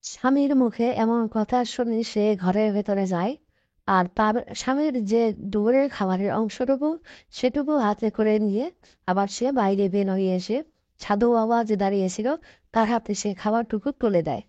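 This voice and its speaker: A woman's voice: medium pitch, normal speed, dark tone, dry recording. A woman reading scripted narration for a cartoon folk story as its narrator. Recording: dull, dry lo-fi track.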